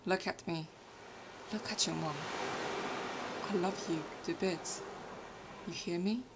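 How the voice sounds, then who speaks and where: A woman's voice: lowish voice, fairly even intonation, takes it slowly, background noise. A woman with British-influenced non-native English playing a poor, proud, tender working-class mother in an audio story, speaking intimately and earnestly. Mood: loving, earnest. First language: not English.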